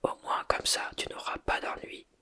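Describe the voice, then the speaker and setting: A reader whispering the lines of a gruff, grumbling male half-giant groundskeeper in a storybook. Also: normal speed, bright, forceful tone, lightly acted. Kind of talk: ASMR whispered storybook reading.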